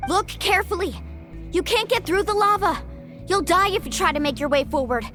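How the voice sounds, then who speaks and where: A woman's voice: very high voice, brisk, fairly bright tone. A woman voicing a brave, resolute young female guide in a video game, firm and earnest, with music behind her. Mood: urgent.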